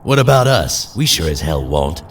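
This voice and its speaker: A man playing a gruff, snarky, cynical mutant fighter in a superhero audio drama, sardonic and grumbling. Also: very deep voice, sing-song, moderate pace, far from the mic, slight background noise, full character voice.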